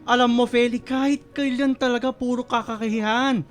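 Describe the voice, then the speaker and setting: A man's voice: very high voice, steady tone, fairly quick. An actor playing an exasperated, domineering older father and grandfather in a Filipino radio melodrama, scolding with some stammering. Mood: shocked, scolding. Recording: music and guitar behind the voice.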